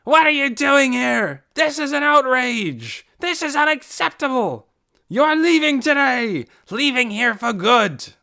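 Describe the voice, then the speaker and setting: A man voicing a furious middle-aged male town leader who screams orders in a high, strained voice. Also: expressive, measured pace, gravelly, sharp tone, full character voice. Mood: outraged yelling. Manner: screaming, outraged. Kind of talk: audiobook character voice.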